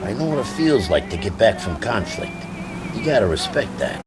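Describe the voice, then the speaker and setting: A middle-aged American man playing a gruff, world-weary police detective in a game drama. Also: lowish voice, sing-song, moderate pace, over loud music. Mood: matter-of-fact. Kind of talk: cinematic game drama acting.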